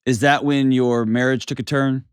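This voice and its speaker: A man's voice: mid-range voice, little pitch movement, moderate pace, smooth, dry recording. Texan man, radio call-in host, friendly, direct questions, dry studio sound. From Texas. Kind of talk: casual call-in advice chat.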